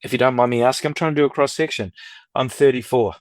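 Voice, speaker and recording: A middle-aged man in a relaxed interview conversation, with a medium-pitched voice in a close, dry recording. He rambles in a talkative way, telling stories and quoting people as he goes. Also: quick pace, no echo. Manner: talkative, rambling, anecdotal, quotes others' speech. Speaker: podcast guest, record collector and dealer. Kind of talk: casual interview chat.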